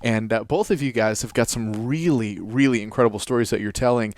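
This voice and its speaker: A man's voice: mid-range voice, a little fast, darkish tone, no echo, clean studio sound. A man hosting a live radio show, upbeat and enthusiastic, with frequent filler words. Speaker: radio host.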